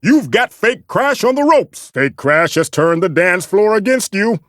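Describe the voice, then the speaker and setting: A man's voice: high voice, lively intonation, unhurried, fairly bright tone, dry recording, clean studio sound. A man voicing a fussy, theatrical mystical guide in a cartoon video game, formal and earnest in delivery. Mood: urgent.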